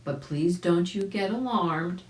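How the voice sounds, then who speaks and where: An older woman around her seventies reading a children's story aloud, expressively and deliberately, indoors a few feet from the camera. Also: fairly low pitch. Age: older, about 70s. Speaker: volunteer storybook reader. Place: small indoor room at home. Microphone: camera a few feet away. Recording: roomy home recording.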